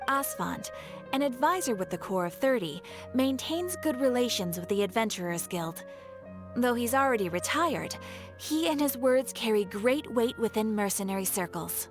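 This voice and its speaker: An actress playing a polite guild receptionist in a video game, speaking in a formal, measured, courteous way. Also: female voice, fairly high pitch, close to an everyday voice.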